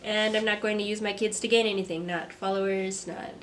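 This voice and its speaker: A young woman, a mother and artist, talking to camera from a script in a soft, reflective vlog; she sounds stuffy and congested. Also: mid-range voice, fairly even intonation, a little fast, slight background noise. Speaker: vlogger, artist. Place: home. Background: kids playing.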